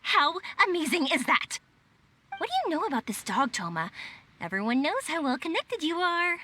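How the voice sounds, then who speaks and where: Bright, high, bubbly young woman. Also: sing-song, moderate pace, slight background noise, heavily acted. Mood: excited. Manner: chirpy, eager. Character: bubbly, warm-hearted young woman, loyal and enthusiastic. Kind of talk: video game voice acting.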